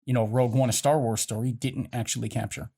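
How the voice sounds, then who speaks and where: A man's voice: medium pitch, flat delivery, quick pace, gravelly, mellow. A man speaking casually as a podcast panelist, reflective and explanatory in manner. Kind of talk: casual discussion.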